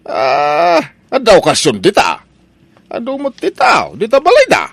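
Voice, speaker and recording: A man's voice: high-pitched, huge pitch swings, measured pace, creaky, a bit bright, dry recording, exaggerated voice. A man with an Ilocano Filipino accent playing an exasperated, nosy father in a comedy radio drama, full of stammered exclamations. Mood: surprised, nosy.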